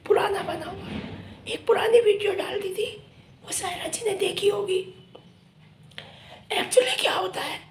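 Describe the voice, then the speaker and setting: A middle-aged woman vlogging casually to camera with a hoarse, lost voice, talking emphatically in a half-whisper from a small room. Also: very high voice, echoey room. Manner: emphatic, whispery from a sore throat.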